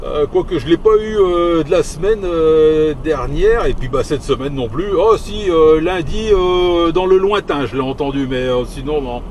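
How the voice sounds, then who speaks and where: A man's voice: fairly high pitch, lively intonation, moderate pace, few pauses, a little mellow. A man chatting casually over radio as he drives, hesitant and rambling, often repeating himself. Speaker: amateur CB radio operator. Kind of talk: casual radio chat while driving. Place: inside a vehicle on the road. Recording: noisy moving vehicle, engine and road noise.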